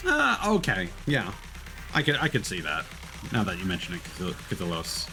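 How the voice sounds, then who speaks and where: A man's voice: deep voice, wildly swooping pitch, normal speed, pushed and bright. Man casually commentating a game stream. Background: faint game music.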